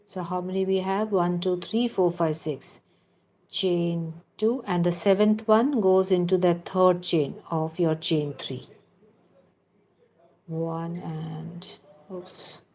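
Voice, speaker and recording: A woman's voice: fairly low pitch, fairly even intonation, takes it slowly, a little mellow. A woman hosting a live crochet tutorial, counting stitches aloud and giving patient step-by-step instructions, with chatty asides to viewers. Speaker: crochet teacher and livestream host.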